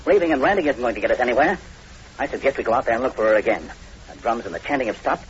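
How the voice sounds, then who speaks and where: An actor playing a middle-aged, level-headed doctor, skeptical yet soothing, in old radio horror drama acting. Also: male voice, mid-range voice, lively intonation, very fast, close to an everyday voice. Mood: firm. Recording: noisy muffled old radio recording.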